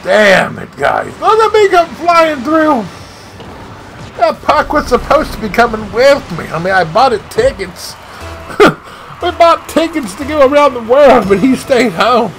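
A man streaming a video game live, giving excitable play-by-play with shouted catchphrases. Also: very high-pitched, measured pace, faint music behind, rough recording. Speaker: gaming streamer. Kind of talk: live gameplay commentary.

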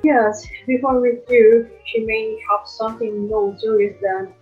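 A woman's voice: fairly high pitch, level tone, a little slow, close to an everyday voice. A young woman playing a probing, dismissive male county attorney in an amateur student drama, with hesitant delivery. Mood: condescending. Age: young adult. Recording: muffled band-limited recording, music behind.

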